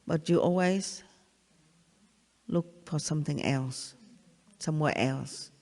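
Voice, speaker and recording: Older Vietnamese-accented woman, spiritual teacher, halting and repetitive, long reverb of a large hall. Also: deep voice, darkish tone. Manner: halting, repeats phrases, checks in with "yeah?". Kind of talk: satsang talk.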